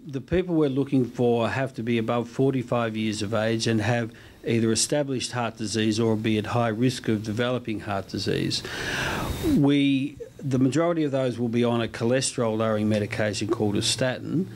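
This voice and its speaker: A man interviewed as a medical researcher, giving explanatory answers in a measured, slightly hesitant way. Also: mid-range voice, a little mellow. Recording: slight background location noise.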